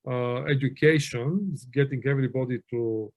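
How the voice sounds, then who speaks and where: A man's voice: medium pitch, normal speed, a little mellow. A middle-aged man from Bulgaria giving a webinar lecture, telling anecdotes in a conversational way with many fillers and restarts. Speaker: webinar presenter on auditing.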